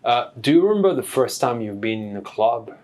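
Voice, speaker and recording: A man interviewing a guest, asking halting questions and reacting quickly. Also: medium pitch, sing-song, a little mellow. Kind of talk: casual interview.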